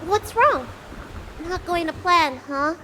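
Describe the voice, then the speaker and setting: A woman's voice: very high voice, animated, slow, slight background noise, full character voice. A woman voicing a bold, playful little girl healer in a video game, with cheeky, breezy, childlike bravado. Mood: wry, curious. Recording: loud music behind.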